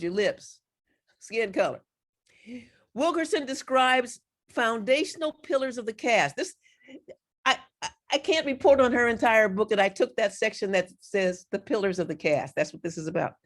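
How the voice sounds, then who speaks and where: A woman giving a lecture as a guest speaker, earnest, with her voice cracking from overuse. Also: on the high side. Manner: earnest, reads quotes aloud, frequent restarts. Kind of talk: lecture presentation.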